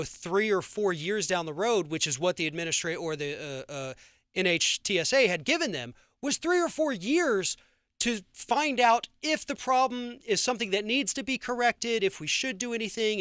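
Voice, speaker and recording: A man's voice: high-pitched, animated, normal speed, a bit bright, clear, resonant tone, dry recording, clean studio sound. A man with an American accent hosting a radio interview, speaking emphatically and indignantly, often stammering over repeated words.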